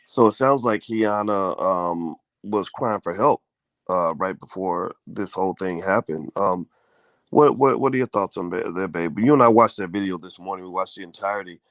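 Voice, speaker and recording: A man's voice: sits low, normal speed, dry recording. A man hosting a casual live-stream chat from bed, with a groggy morning voice, loose and chatty with many fillers. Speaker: host. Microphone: close mic at home, in bed.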